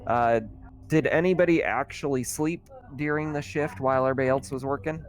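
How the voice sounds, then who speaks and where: A man's voice: medium pitch, fairly even intonation, a little fast, slight background noise. A man running an online tabletop roleplaying game as game master, talking casually and a little hesitantly as he checks rules.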